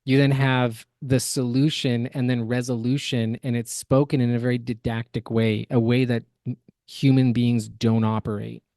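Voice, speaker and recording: Casting: male podcast co-host, mid-pitched, analytical and emphatic, very dry close sound. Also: flat delivery, normal speed, warm tone, no echo. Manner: analytical, emphatic, builds word lists. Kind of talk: opinionated reaction commentary.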